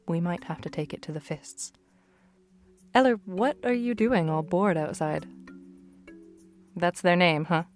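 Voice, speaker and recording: A woman's voice: lowish voice, darkish tone, natural voice. A woman voicing a shrewd, composed gang boss around forty, businesslike and knowing in a character demo.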